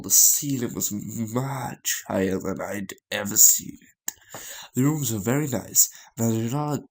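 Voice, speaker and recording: A man reading a visual novel story aloud as a gaming host, in a halting way with stumbles and repeated phrases. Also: on the low side, creaky.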